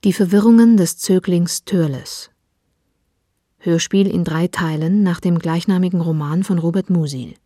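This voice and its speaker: A woman reading a formal radio play announcement in a measured, precise way. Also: on the low side, dark tone, no echo, studio quality. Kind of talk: formal scripted announcement of title and credits. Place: dry studio.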